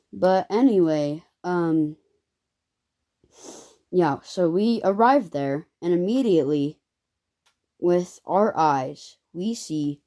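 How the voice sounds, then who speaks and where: Hesitant, slow-talking young vlogger. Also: male voice, on the high side, mellow. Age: child. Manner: rambling, hesitant, many ums and self-corrections. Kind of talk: casual storytime vlog.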